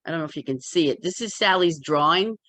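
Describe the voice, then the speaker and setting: A woman's voice: sits low, fast talker, a bit bright. A woman chatting casually in a group as an author, with a candid, wry way of talking. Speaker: memoir author in a community discussion.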